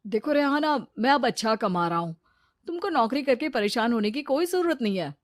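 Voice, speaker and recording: A woman's voice: on the high side, expressive, a little fast, no echo, studio quality, voice pushed up, lightly acted. A woman voicing an arrogant, dismissive, newly rich husband in a cartoon story, with a boastful manner. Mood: persuading. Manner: scornful, boastful.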